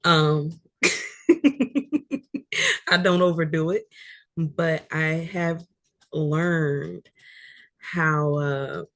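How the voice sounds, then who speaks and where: A woman with a deep voice, an author chatting as a guest about how she uses her voice, talking thoughtfully with frequent fillers. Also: very slow, long pauses, a bit bright, dry recording. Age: adult. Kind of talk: casual chat about voice and reading.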